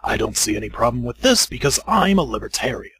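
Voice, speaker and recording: Man acting out old headmaster, very raspy, bright forceful edge, theatrical announcements, parody audio story. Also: medium pitch, big pitch swings, fast, dry recording, exaggerated voice. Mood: grand, welcoming. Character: old male school headmaster, grandly selling out to sponsors. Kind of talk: amateur dramatic reading of parody fan fiction.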